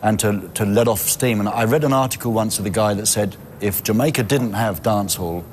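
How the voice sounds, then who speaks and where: A man with a fairly low voice hosts a music interview. He speaks quickly in a chatty presenting style, with faint noise behind him. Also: a little mellow. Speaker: interviewer, show host.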